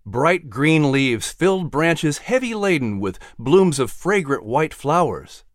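Expressive male narrator with lively pitch. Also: slow pace, dry recording, clean studio sound. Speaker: announcer and narrator. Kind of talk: scripted children's story narration.

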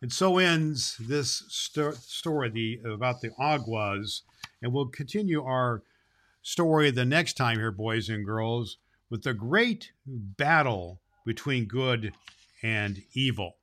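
A man's voice: mid-range voice, animated, slow, a bit bright. A man playing a jolly, kindly old Santa Claus who hosts a children's show, speaking affectionately and folksily and stumbling a little over his words. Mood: warm storytelling. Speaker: host and storyteller of a children's show.

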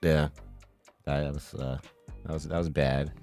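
A man's voice: very deep voice, measured pace, faint music behind. A man co-hosting a podcast in casual chat, filling his talk with "like" and laughing often. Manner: lots of "like", laughs often, lively. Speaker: podcast co-host.

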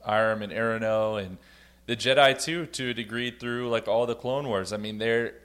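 A man's voice: on the low side, steady tone, normal speed, a bit bright, clean tone. A man on a podcast panel, thinking aloud in casual, reflective explanations peppered with fillers. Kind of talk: casual discussion.

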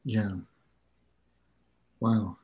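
A man in his thirties from Singapore hosts the conversation in a fairly low voice. He is earnest and halting, stumbling over repeated words as he works toward what he means. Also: steady tone, warm tone. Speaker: host of a parenting talk show, new father. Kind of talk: casual conversation.

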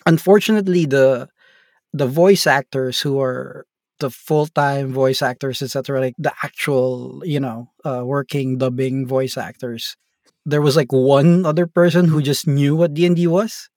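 Relaxed Filipino man, rambling. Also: medium pitch, moderate pace, no room sound, clean studio sound. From Philippines. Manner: rambling, relaxed, many fillers and tag questions. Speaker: game master guest. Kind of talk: casual interview.

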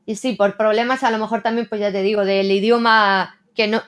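A woman's voice: mid-range voice, fairly even intonation, brisk. Reflective Spanish-speaking woman translator. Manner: reflective, frequent "¿no?" tags. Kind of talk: casual interview conversation.

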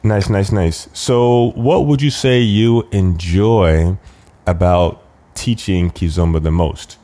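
A man's voice: low voice, takes it slowly, dark tone. A man hosting a podcast, asking questions in a conversational interviewing style.

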